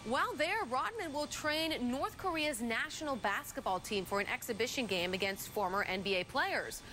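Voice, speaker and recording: A woman's voice: on the high side, lively intonation, moderate pace, pushed and bright, a little hiss or hum. An American woman anchoring morning TV news, reading stories and chatting casually with a co-anchor.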